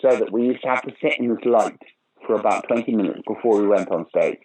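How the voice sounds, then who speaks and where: An older man with a lowish voice spins theatre anecdotes in an animated, rambling way, his voice leaping up in sudden loud bursts. A faint hiss sits under his voice. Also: fast talker, low-quality recording. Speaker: guest actor and comedian. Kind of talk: conversational interview storytelling.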